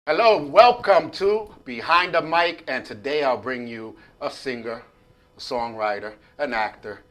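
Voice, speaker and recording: A man's voice: medium pitch, measured pace, fairly bright tone, faint music behind. An easygoing man hosting a sit-down interview. He keeps his questions casual and laughs easily between them. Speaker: show host.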